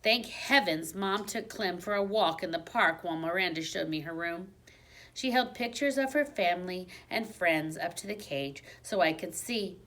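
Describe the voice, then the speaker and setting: A woman reading a children's book aloud like a teacher, with expressive storybook narration and dramatic emphasis. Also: lowish voice, moderate pace. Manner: dramatic emphasis on exciting moments.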